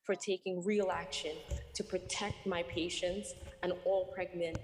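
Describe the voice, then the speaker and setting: A young woman, a doctor, giving earnest prepared testimony at a public hearing, with halting restarts, speaking remotely from her workplace. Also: on the low side, little pitch movement, slight background noise. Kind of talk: prepared testimony, read aloud.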